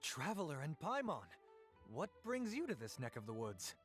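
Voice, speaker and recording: A man's voice: a little high, huge pitch swings, over music. A man playing a caring innkeeper boss in a video game, speaking in a polite, reassuring way. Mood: courteous, reassuring. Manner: reassuring, polite, measured phrasing. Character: courteous, caring adult male innkeeper boss.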